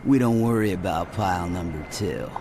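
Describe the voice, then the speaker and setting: An actor playing an easygoing, streetwise smuggler using casual slang in a video game cutscene. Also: male voice, low-pitched, moderate pace, background noise. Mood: casual, businesslike.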